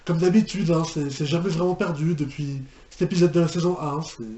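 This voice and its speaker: A young man giving unscripted reaction commentary, halting and repeating himself in an incredulous way. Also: on the high side, steady tone, mellow, muffled recording. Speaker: reaction video host. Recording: echoey room with background noise.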